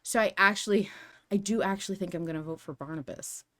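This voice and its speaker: A woman hosting a casual fan discussion podcast, talking in an animated, rambling, emphatic way. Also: mid-range voice, quick pace. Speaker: podcast host.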